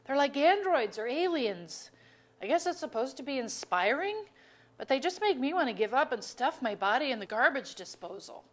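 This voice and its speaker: Actress as middle-aged wife, high voice, very lively intonation, dry, articulate, exasperated, naturalistic stage dialogue. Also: female voice. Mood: dryly weary. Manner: dry, articulate, deliberate phrasing. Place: auditorium.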